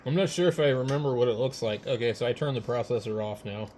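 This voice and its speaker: A man's voice: mid-range voice, quick pace. A man talking casually and a bit hesitantly as an amateur radio operator on a ham radio setup. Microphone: radio microphones, switched between hand mics. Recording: noisy amateur radio setup, steady background noise.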